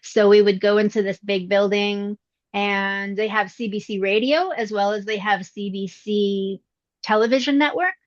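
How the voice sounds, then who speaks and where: A middle-aged woman with a young-sounding voice, talking about her acting career in a relaxed, reflective interview with frequent fillers. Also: medium pitch, moderate pace, dry recording, muffled recording. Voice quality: youthful-sounding voice. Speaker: voice actress, interview guest. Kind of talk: casual interview answers. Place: indoors at her mother's home.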